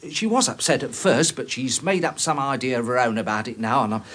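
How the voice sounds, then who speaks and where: A man as flustered, husky, fussy little Englishman. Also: medium pitch, a little fast, fairly bright tone, natural voice. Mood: flustered, grateful. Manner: flustered, stammering, obsequious. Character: timid, deferential, fussy little lower-middle-class Englishman. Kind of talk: full-cast BBC radio drama acting.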